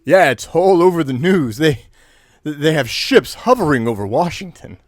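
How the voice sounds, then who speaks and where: A man's voice: a little high, sing-song, normal speed, dry recording, studio quality. A man playing a mild, puzzled father of a teenager in an audio drama, hesitant and surprised. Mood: alarmed, confused.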